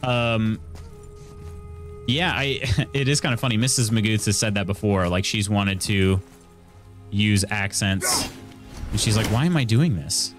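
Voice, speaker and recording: A man's voice: lowish voice, unhurried, long pauses, soft background music. A man in his thirties with an Indiana Midwestern accent, chatting casually while playing and reading chat. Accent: Midwestern American, Indiana. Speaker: streamer. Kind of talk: casual gameplay commentary and chat. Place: small room at home, indoors. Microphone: studio mic on arm near face.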